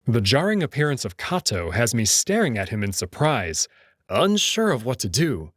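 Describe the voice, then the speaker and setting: A man's voice: medium pitch, no room sound, studio quality. A man narrating a visual novel as a scripted, dramatic read-aloud. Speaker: host and narrator.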